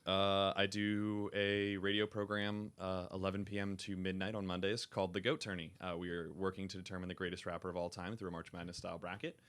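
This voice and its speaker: A young man with a rich, deep timbre talking casually as an interview guest. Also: level tone, a little fast, hardly any pauses, a bit bright, smooth. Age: young adult. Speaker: interview guest, student radio promotions staffer. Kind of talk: casual, unscripted interview talk.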